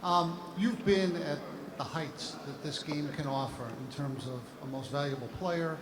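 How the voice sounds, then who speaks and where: A man's voice: on the high side, measured pace, reverberant, crowd noise. A man reporter at a press conference asking long, winding questions.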